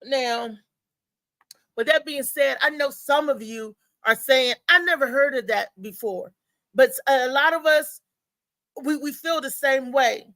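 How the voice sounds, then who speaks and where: A woman hosting a live talk show with casual, conversational commentary, often halting and repeating words as she goes. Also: high-pitched, a bit bright, no echo.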